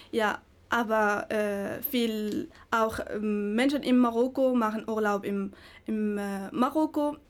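Give me a woman from Morocco, answering in slow, searching, speech. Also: fairly high pitch. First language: not German. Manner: halting, searching for words, many hesitations. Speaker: interviewee. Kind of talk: interview answers.

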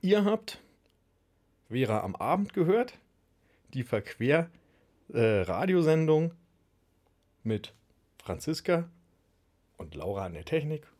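A man's voice: a little high, very animated, takes it slowly, pauses often, a little mellow. A man hosting a radio show casually, chatty and a little hesitant in his phrasing. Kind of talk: casual magazine show presenting.

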